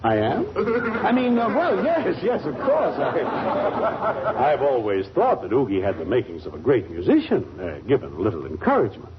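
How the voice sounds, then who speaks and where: A man's voice: medium pitch, huge pitch swings, moderate pace, a faint crowd. A man playing a blustering, exasperated family father with emphatic, sputtering delivery in a 1940s radio comedy. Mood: flustered, pompous. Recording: dull, noisy 1940s radio recording.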